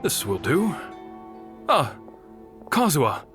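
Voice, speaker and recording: An actor playing a gentle, solemn middle-aged father of a declining noble clan, speaking in a measured, reflective way in a video game. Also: fairly high pitch, huge pitch swings, full character voice. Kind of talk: English video game voice acting. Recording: music behind the voice.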